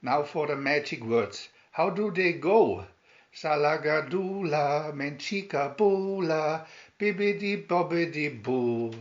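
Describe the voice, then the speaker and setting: A man voicing a sweet, kindly little fairy godmother who muses gently and chants spells in a storybook tale. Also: on the high side, expressive, slow, lo-fi sound. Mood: musing, chanting.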